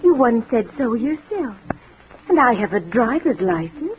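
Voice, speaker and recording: An actress playing an eager, gentle, adoring younger sister in a 1940s radio drama, heard on a tinny old recording. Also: female voice, fairly high pitch, sing-song, a little slow, a little hiss or hum. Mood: pleading.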